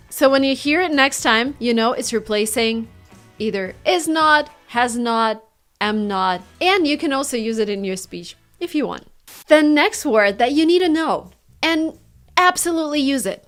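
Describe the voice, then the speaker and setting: A woman with a Russian accent, teaching an online English lesson and acting out example phrases with lively intonation. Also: sits high.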